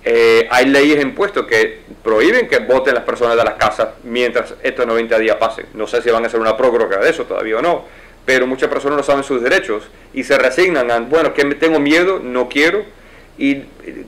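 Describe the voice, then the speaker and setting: A man in his forties answers interview questions from behind his office desk. He speaks in a middle-pitched voice, fluently and in an explanatory way, with quick asides. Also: a bit bright, rough recording. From United States. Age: 40s. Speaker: lawyer and judicial candidate. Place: wood-paneled office, indoors.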